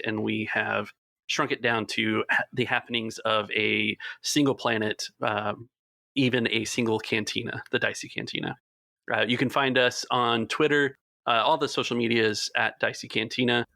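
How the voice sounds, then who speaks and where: A man who runs a tabletop podcast and introduces it in a steady way on a roundtable. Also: medium pitch, vocal fry, no echo. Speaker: podcast game master.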